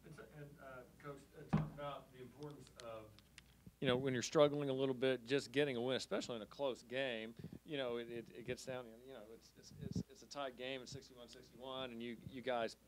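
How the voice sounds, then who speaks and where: A man asking questions as a sports reporter, in halting, repetitive, loosely built phrases. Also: mid-range voice, little pitch movement. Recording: faint background noise and clicks.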